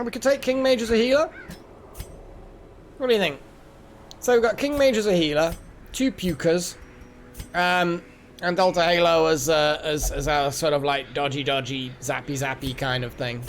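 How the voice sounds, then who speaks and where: Male game streamer, slightly high voice, casual rambling chat, thinking aloud, faint noise behind. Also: moderate pace, pauses often. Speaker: game livestream host. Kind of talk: casual live gaming chat.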